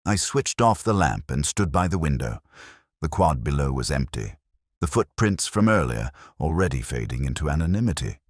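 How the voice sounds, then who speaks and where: Very deep-voiced brooding detective, played by a man. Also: very animated, dry recording, clean studio sound, exaggerated voice. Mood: brooding, melancholy. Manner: brooding, literary, measured storytelling. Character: melancholy, reflective male police detective narrating his case. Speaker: narrator. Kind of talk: scripted first-person mystery narration in radio drama manner.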